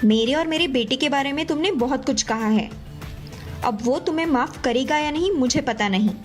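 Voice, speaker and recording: Stern offended mother-in-law played by a woman, high voice, cartoon story, soft music behind. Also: moderate pace, close to an everyday voice. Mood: reproachful. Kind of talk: animated moral story dialogue.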